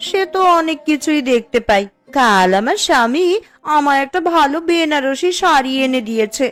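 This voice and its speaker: A woman's voice: sits high, expressive, normal speed, faint music behind. A woman voicing a boastful neighbour wife in a cartoon, mocking and taunting as she shows off. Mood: gloating, mocking. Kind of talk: Bengali cartoon voice acting.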